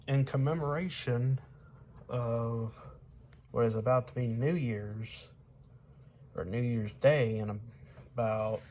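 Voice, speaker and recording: Slow-talking young man, casual and halting. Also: mid-range voice, darkish tone. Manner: halting, pausing mid-sentence. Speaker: hobbyist showing weather radios. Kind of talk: casual unscripted product demonstration. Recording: home recording with faint background noise.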